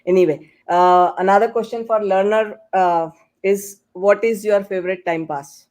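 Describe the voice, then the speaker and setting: A podcast host moderating a panel discussion, speaking in a hesitant, halting way. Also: medium pitch, fairly even intonation, darkish tone.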